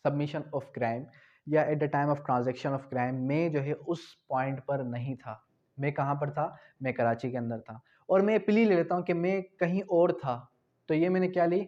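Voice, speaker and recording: A man from Pakistan giving an exam-prep law lecture, asking questions and then answering them, spelling out key terms slowly. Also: fairly high pitch, darkish tone, lo-fi sound. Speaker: law tutor.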